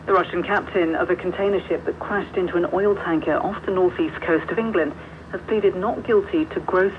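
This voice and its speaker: A news reader delivering a scripted radio bulletin, heard over shortwave. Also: medium pitch. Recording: noisy tinny shortwave radio reception. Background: loud radio static.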